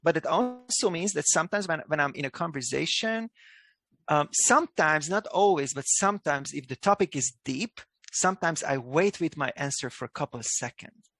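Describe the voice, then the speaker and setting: A man with a Hungarian accent hosting an interview, speaking haltingly with many restarts as he thinks aloud. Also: on the high side, animated, a bit bright, dry recording. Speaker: host.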